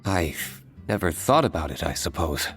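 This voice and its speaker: A man's voice: sits low, big pitch swings, moderate pace, fairly bright tone. An actor playing a reserved, polite young nobleman in a video game, composed and understated. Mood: polite, encouraging. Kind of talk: scripted video game voice acting. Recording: game audio with music behind.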